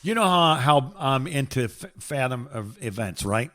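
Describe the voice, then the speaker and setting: Halting, rambling middle-aged American man. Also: mid-range voice, expressive, fairly bright tone. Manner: halting, rambling, repeats words and trails off mid-thought. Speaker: podcast co-host. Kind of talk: casual phone-call chat.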